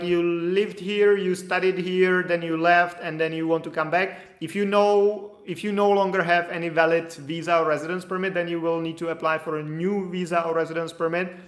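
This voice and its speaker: A man's voice: sits high, a bit bright. A man answering viewer questions on immigration during a live stream, in a casual, hedging manner. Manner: casual, hedging, reads questions aloud then explains. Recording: echoey room.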